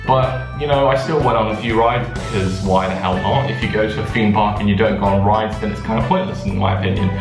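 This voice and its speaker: A man's voice: fairly low pitch, fairly even intonation, brisk, hardly any pauses. Echoey, chatty young British man. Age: young adult. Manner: chatty, rambling, self-interrupting. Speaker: vlogger. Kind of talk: casual storytime chat to viewers. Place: indoors in a bathtub in a bathroom. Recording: echoey bathroom with music behind.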